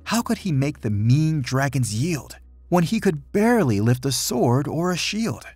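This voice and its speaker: A man reading a rhyming children's picture book aloud in an expressive, dramatic storytelling manner. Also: a little high, measured pace, husky, dry recording, soft background music. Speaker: storybook reader.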